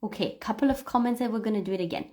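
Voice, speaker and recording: A woman hosting a live English pronunciation lesson, explaining things to viewers in an instructive, expressive way with emphasis and frequent checks for understanding. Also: fairly high pitch, racing. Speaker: English pronunciation teacher, livestream host. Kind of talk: live lesson talking to viewers, demonstrating read-aloud.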